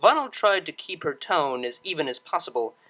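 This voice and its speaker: A story narrator with a bright, forward tone and very expressive pitch movement. The reading is scripted and dramatic, like an audiobook, at a middle pitch. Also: a little fast.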